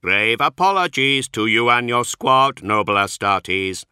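A man voicing a cold, formal male tech priest whose synthetic voice is harsh and atonal, spoken slowly and precisely. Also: medium pitch, lively intonation, bright, forceful tone, clear, resonant tone, no room sound, clean studio sound, voice pushed up. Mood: measured. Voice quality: harsh, atonal, strangely inflected. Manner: slow, clipped, precise delivery.

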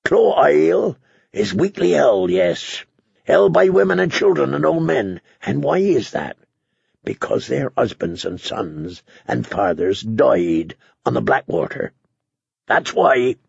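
A man voicing a blunt, earnest lowborn knight in an audiobook, with a gravelly, husky voice and emphatic, plain-spoken delivery. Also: sing-song, slow pace, a little mellow, no echo, highs cut off, full character voice. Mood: impassioned pleading.

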